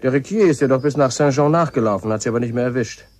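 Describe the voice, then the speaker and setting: An actor playing a plain-spoken, gossipy village car mechanic in a radio play, chatting colloquially. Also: male voice, mid-range voice, racing, a little mellow, slight background noise, natural voice. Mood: casual. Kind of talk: German radio play acting.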